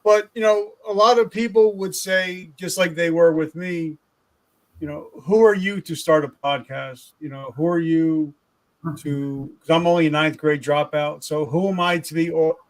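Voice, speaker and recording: A man's voice: a little high, steady tone, measured pace, rough recording. A man hosting a podcast, asking questions enthusiastically with frequent ums and restarts. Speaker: podcast host. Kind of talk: interview questions.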